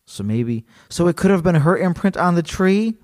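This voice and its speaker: A man co-hosting a podcast, chatting casually and reading a story aloud, animated and joking. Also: high-pitched, moderate pace. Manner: animated, joking, laughs often. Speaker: podcast co-host.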